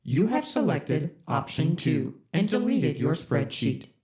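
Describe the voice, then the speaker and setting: Deadpan corporate ship AI. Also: medium pitch, measured pace, soft background music. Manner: flat, polite, bureaucratic. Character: deadpan corporate spaceship computer AI. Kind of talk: audio drama.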